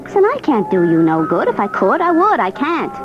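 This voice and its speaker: A woman's voice: on the high side, huge pitch swings, fairly quick. Brassy gangland bar girl, slangy n evasive, old muffled radio sound, music behind. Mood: defensive. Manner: slangy, streetwise, ungrammatical talk. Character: brassy, evasive barroom hostess in a gangster story. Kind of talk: 1940s radio drama acting.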